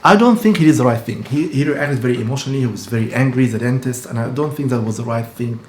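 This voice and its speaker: A man's voice: mid-range voice, fast. A man, talking casually to camera as a dentist, earnest and explanatory with frequent restarts. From Egypt. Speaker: dentist and practice owner. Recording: echoey room with faint hum.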